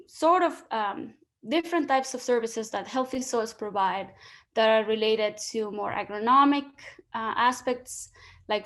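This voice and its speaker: A woman from Spain with a Spanish accent, giving an online science lecture as a guest speaker, explaining step by step with frequent fillers and restarts. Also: fairly high pitch. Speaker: guest lecturer, soil ecologist. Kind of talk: online presentation. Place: home or office, video call. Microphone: video call.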